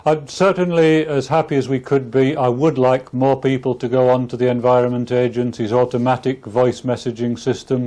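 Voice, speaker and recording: A male official gives a formal interview. His delivery is measured and very flat in pitch, with a dark, mellow tone. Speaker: Environment Agency official.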